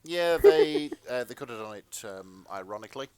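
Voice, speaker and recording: A man co-hosting a podcast, chatting casually with a slightly hesitant, halting delivery. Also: fairly low pitch, animated, crowd noise. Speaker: podcast co-host. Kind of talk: casual chat.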